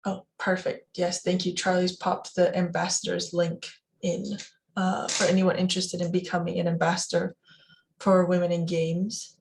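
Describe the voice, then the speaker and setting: A woman's voice: on the low side, completely flat delivery, normal speed, creaky. Woman moderating an informal roundtable.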